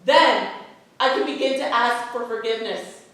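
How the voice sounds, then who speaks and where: A woman's voice: a little high, normal speed, natural voice. A woman playing a pious, guilt-ridden Puritan wife and mother, delivering an earnest, impassioned stage monologue. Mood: desperate, impassioned. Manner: earnest, impassioned, swelling from hushed to loud. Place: playhouse stage with live audience. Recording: distant mic in very echoey hall.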